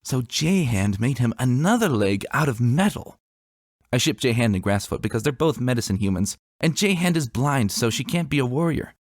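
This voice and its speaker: A man's voice: medium pitch, expressive, normal speed, no echo, clean studio sound, voice pushed up, natural voice. A man voicing an eager, argumentative little pony princess, childlike and earnest, in a dramatic story reading. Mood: eager, excited. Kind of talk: dramatic fan-fiction reading.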